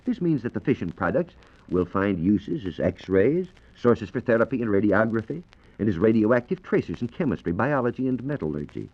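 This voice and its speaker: A man with a lowish American voice explains science as a 1940s radio reporter. His delivery is precise and instructive, with lively rises and falls, on a muffled vintage broadcast recording. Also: moderate pace.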